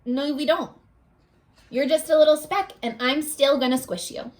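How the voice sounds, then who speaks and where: A woman's voice: high voice, normal speed, darkish tone, natural voice. A woman voicing a bossy, scornful young boy from a picture book in a taunting, dismissive way. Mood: threatening.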